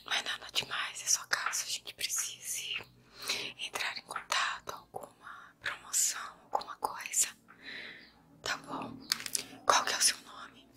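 A woman's voice: extremely low pitch, monotone, a little slow, vocal fry, long pauses. Whispering young Brazilian woman. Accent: Brazilian Portuguese. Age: 20s. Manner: soft, gentle, addressing the listener as a client. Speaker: ASMR creator playing a hairdresser. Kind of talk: whispered ASMR roleplay. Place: small room at home.

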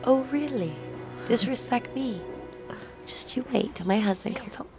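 A woman's voice: a little high, moderate pace, vocal fry. A young adult woman giving casual reaction commentary as a co-host. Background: game music.

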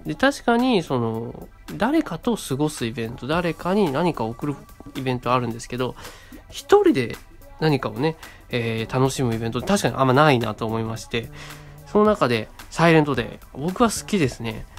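A man's voice: mid-range voice, expressive, moderate pace, soft background music. A man around thirty co-hosting a casual radio show, talking in short phrases with frequent little tag words.

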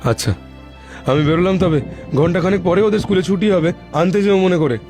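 A man's voice: high voice, brisk, darkish tone. A man playing a concerned husband and father in a cartoon story, earnest and instructing. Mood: cautioning. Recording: prominent music under the voice.

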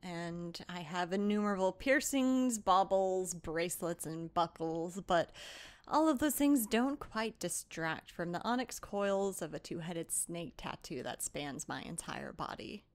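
A woman's voice: fairly low pitch, lively intonation, measured pace. A woman playing a tabletop game, describing her character in the first person.

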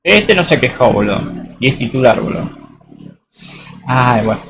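Argentine dude rambling while streaming, slangy, keeps saying boludo, bit of hiss behind. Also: male voice, medium pitch, big pitch swings, normal speed. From Tucumán, Argentina. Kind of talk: casual live-stream chat while playing.

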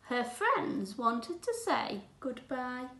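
Woman, infant teacher, picture-book read-aloud, expressive, encouraging, measured pace, roomy echo. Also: high-pitched. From England. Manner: expressive, addressing young children with encouraging asides and gasps. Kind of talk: children's storybook read-aloud. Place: small room at home, indoors. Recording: roomy, echoey indoor sound.

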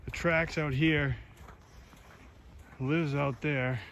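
A young man casually narrating an ice fishing trip outdoors on a frozen lake, excitedly addressing viewers as folks. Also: on the high side, extremely slow, vocal fry, slight background noise. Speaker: fishing vlogger.

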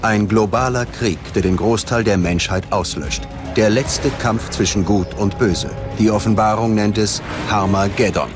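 A man's voice: lowish voice, fairly even intonation, normal speed, hardly any pauses. A man narrating a scripted documentary in a dramatic, ominous manner. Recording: loud music behind the voice. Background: music.

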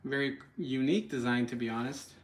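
A man's voice: medium pitch, brisk. A man giving casual live product commentary in a home kitchen, speaking informally and a bit hesitantly straight to viewers. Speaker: product reviewer, channel host.

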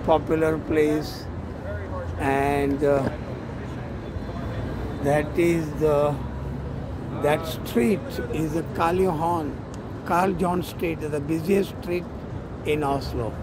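A middle-aged man from India with an Indian accent, talking in halting, repeated short phrases for a casual outdoor vlog on a phone. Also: on the high side, fairly even intonation, crowd noise, rough recording. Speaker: vlogger and matchmaker. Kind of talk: casual selfie travel vlog. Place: outdoors in an open city square. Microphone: phone at arm's length. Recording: loud outdoor city square noise. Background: urban ambience and vehicles.

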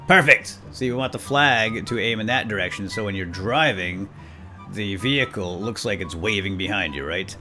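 A man's voice: on the low side, normal speed, music in the background. A man hosting a let's play with casual, folksy, chatty commentary and light role-play, talking directly to viewers.

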